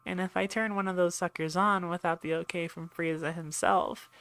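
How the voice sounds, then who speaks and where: A woman's voice: fairly low pitch, fairly bright tone. A woman playing a brusque, sarcastic ship medic in an ASMR roleplay, dry and impatient, talking straight to her patient. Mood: exasperated. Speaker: voice actor in audio roleplay. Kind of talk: ASMR roleplay acting, speaking to listener.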